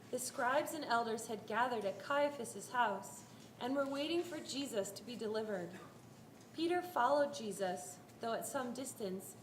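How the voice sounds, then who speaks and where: A woman narrating a scripted dramatic reading of a Bible story. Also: on the high side. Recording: roomy echo, faint background noise.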